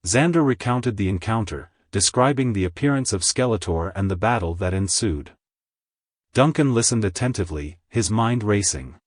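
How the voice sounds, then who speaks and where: Low-voiced man narrating a story. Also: lively intonation, normal speed, no room sound, studio quality.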